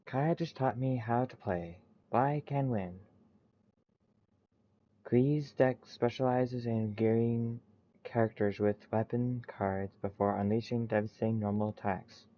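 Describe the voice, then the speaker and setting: A man giving casual gameplay commentary, haltingly reading text aloud and muttering to himself. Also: mid-range voice, muffled recording. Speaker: gaming streamer. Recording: faint background noise.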